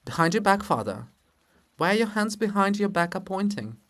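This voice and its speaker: A man voicing a dignified, respectful male monument of a scholar in a formal, measured manner for a play reading. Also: sits high, big pitch swings, fairly bright tone, voice pushed up, full character voice. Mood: explaining.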